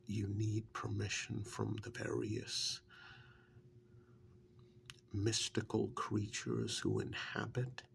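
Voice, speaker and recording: A man playing a witch's world-weary assistant in an ASMR role-play, speaking softly and haltingly in a gravelly, half-whispered voice. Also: on the low side, a little hiss or hum, exaggerated voice. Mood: reflective, resigned. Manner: slow, halting, reflective, with murmured acknowledgements. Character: witch's male assistant, world-weary human forest dweller being interviewed. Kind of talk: soft-spoken ASMR role-play, improvised monologue.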